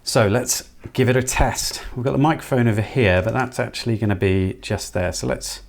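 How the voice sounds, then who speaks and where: A man's voice: mid-range voice, normal speed, creaky. A man giving casual, informal hands-on commentary as he works through an electronics build, half talking to himself. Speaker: electronics hobbyist presenter.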